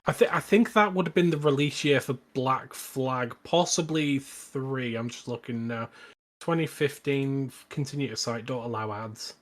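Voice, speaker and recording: A man's voice: mid-range voice, normal speed. A man hosting a podcast, chatting casually as he reviews films. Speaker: podcast host.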